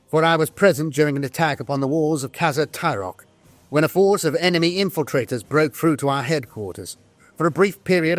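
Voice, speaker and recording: A man playing a grave veteran military officer, reading a war journal in a formal, measured, dramatic style. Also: a little high, no room sound, natural voice. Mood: solemn, reflective. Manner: solemn, formal, measured delivery. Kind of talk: scripted dramatic audio-drama narration.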